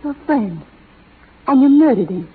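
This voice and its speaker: An actress playing a principled, refined young schoolteacher with an earnest, dramatic delivery in 1930s radio melodrama style. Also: female voice, high-pitched, animated, unhurried, slightly rough, full character voice. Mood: horrified, accusing. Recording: tinny, muffled 1930s radio recording.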